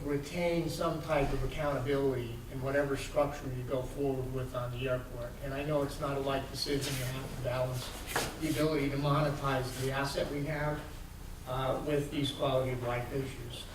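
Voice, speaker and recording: A man, a local resident, gives a measured public comment at a town meeting, with frequent ums and uhs. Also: on the high side. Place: large echoey meeting hall. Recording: echoey hall, distant mic, background noise.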